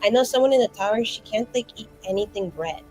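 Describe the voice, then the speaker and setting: A woman plays her character in a tabletop game, rambling chattily and leaning on constant fillers. Her pitch swoops up and down expressively. Faint music sits in the background. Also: animated, fast, dark tone, rough recording. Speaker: player. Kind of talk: in-character roleplay.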